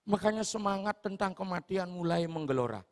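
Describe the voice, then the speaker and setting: A man with a Sulawesi accent giving an animated storytelling sermon in a large hall. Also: high-pitched, brisk. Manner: animated, switching into voices. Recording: long hall reverb.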